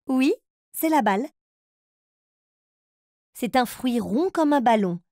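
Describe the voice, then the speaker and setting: High-voiced, encouraging riddle leader. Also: female voice, lively intonation, takes it slowly, mellow, no room sound, studio quality. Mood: kindly, explaining. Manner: encouraging, clear simple phrasing for toddlers. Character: kind, encouraging grown-up woman leading riddles for little children. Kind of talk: children's cartoon riddle game narration.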